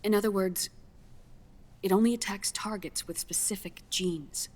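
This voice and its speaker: A woman playing a grave scientist in a video game cutscene, explaining grim findings in a measured, solemn way. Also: medium pitch, darkish tone, natural voice. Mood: clinical, explanatory. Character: grave female scientist delivering a grim diagnosis.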